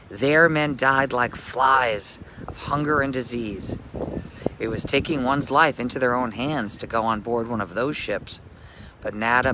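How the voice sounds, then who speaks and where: Bright-voiced man telling local history. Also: mid-range voice, a little hiss or hum. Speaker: local history tour guide and reader. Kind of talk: scripted story reading, then informal tour talk.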